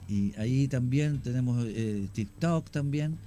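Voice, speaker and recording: A man with a Chilean accent, a guest on a radio show, talking in a measured way with many hesitations and fillers. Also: medium pitch, mellow. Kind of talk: casual radio interview.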